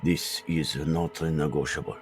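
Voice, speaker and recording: A man acts out an aged rat ninja master in a motion comic. The voice is low and grave, with formal, measured authority. Prominent music plays underneath. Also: steady tone. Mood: firm, calm. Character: old male mutant rat ninja master and father. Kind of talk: motion comic fan dub acting.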